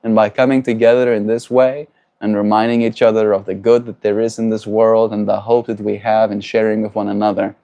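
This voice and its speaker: A man's voice: lowish voice, mellow. A man from South Africa hosting a livestream, talking reflectively with his viewers and often tagging his points with questions. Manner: reflective, keeps adding tag questions. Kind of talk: casual livestream chat, answering viewers.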